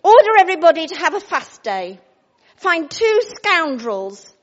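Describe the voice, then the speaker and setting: A woman's voice: very high-pitched, sing-song, measured pace, in a large hall, lo-fi sound, pitched above the performer's own voice, heavily acted. A woman voicing a scheming, domineering queen who orders her husband and servants about. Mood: commanding. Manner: commanding, coaxing.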